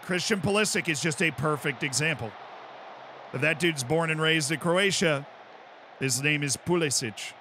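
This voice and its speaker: Animated, bright-voiced American man. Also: medium pitch, a little hiss or hum. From United States. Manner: animated, rambling explanations with many "right?". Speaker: streamer. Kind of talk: casual chat while playing.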